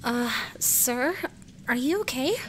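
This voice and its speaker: A woman playing a concerned, gently teasing junior police colleague in an amateur radio drama, polite and tentative. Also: high voice, lively intonation, slow. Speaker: voice actor in amateur radio play. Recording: faint background noise.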